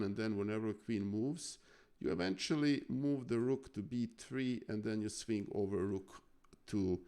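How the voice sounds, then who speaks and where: A man talks slowly at a desk microphone, going over chess games on a live stream. His low, gravelly voice stays smooth and clear. He halts often with fillers as he thanks his viewers. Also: darkish tone. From Serbia. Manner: halting, many fillers, thanks chat viewers. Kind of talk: casual live stream chat and chess analysis.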